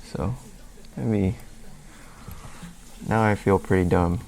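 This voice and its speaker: A man's voice: deep voice, takes it slowly, vocal fry, a little mellow, slight background noise. A man hosting a live stream, chatting casually and rambling as he answers questions from chat, a little sniffly. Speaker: livestream host.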